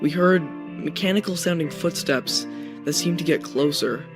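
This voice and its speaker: A young man tells a scripted spooky story in the first person. His voice sits a little high for a man. Guitar music runs clearly underneath the narration. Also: normal speed.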